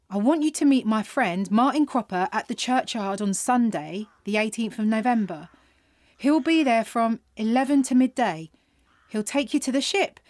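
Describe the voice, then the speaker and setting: A woman playing a sharp-witted, irreverent young working-class penitent in a period audio drama. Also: a little high, no echo, clean studio sound. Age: young adult. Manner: cheeky, irreverent.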